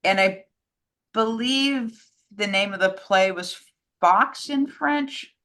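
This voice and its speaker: A woman's voice: mid-range voice, takes it slowly, a bit bright. A woman co-hosting a casual film discussion, informal and chipping in with details. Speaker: co-host fielding fan questions.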